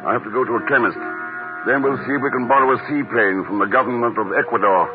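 An actor playing a cool, shrewd secret agent with a clipped, controlled manner, in 1950s radio drama style. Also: male voice, medium pitch, fast, natural voice. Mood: brisk, commanding. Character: cool, shrewd secret agent, middle-aged man in command. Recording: tinny old radio recording, music behind.

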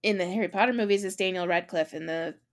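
A woman co-hosting a podcast in casual chat, talking with eager enthusiasm and frequent quick restarts. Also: fairly low pitch. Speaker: podcast co-host.

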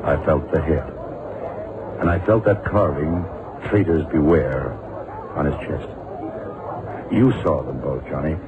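Very deep, laconic investigator. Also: male voice, heavy background noise. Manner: laconic, dry, self-assured. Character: shrewd, unhurried, wry male American investigator. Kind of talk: 1960s-70s radio drama acting. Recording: narrow-band old radio recording with music.

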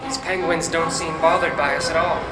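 A man's voice: on the high side, steady tone, a little fast, natural voice. A man playing a nervous young explorer. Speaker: expedition companion. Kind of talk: radio drama acting. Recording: roomy echo, distant mic, music bed.

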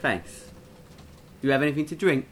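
A man's voice: mid-range voice, expressive, fairly bright tone, a little hiss or hum, close to an everyday voice. An actor playing a nervous drifter who stammers and halts as he recounts something horrifying. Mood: nervous, shaken. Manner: halting, stammering, frightened.

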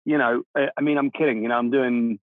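A man's voice: medium pitch, fairly even intonation, quick pace, no echo. Man on a tinny line. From Czech Republic. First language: Czech. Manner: reflective, self-deprecating, laughs easily. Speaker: guest, film composer. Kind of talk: casual interview conversation. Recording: dry, phone-like narrow sound.

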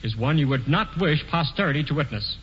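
An actor playing a courteous gentleman author. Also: fairly high pitch, expressive, a little fast, big-room sound, slight background noise, close to an everyday voice. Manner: courteous, formal. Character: polite male author narrator figure. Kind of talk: 1940s radio acting. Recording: dull lo-fi old radio broadcast.

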